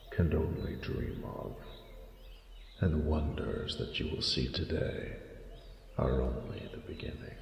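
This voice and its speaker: Noble old dragon, romantic fantasy roleplay. Heavy gravel and breath, unhurried formal delivery, tender but solemn, reverberant sound over noisy ambience. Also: male voice, a bass voice, a little mellow, heavily acted. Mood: tender, proud. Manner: slow, stately, formal phrasing. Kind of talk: scripted romantic audio roleplay.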